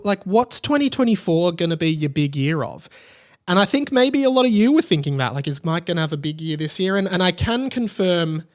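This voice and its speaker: Male podcast host, young Australian, voice a little high, effusive and conversational. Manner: enthusiastic, effusive. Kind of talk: casual solo podcast chat.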